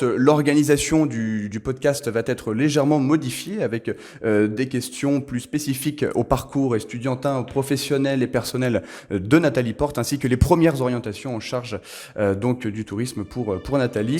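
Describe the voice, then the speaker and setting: A male podcast host with an average-pitched voice conducts a formal interview. His phrasing is courteous and wordy, with frequent hesitation as he builds long questions and introductions. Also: darkish tone.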